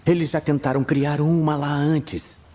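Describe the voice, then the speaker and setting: A man playing a weary, earnest male archmage, speaking in a hushed, measured, urgent way in a fantasy audio drama. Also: fairly high pitch, steady tone, voice pushed up, lightly acted. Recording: music bed behind voice.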